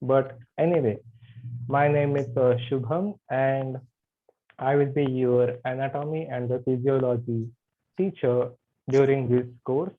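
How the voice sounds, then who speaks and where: A man introducing himself as a yoga anatomy teacher in an online class, halting with many fillers. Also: medium pitch, steady tone. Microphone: video call. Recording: tinny video call audio.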